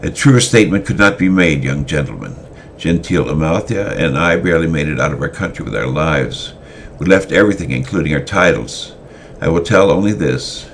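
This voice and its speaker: A wise, solemn old mentor of small stature speaks in archaic phrases, his voice very deep and gravelly, as a storyteller puts him on. A faint hiss runs under the reading. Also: animated, brisk.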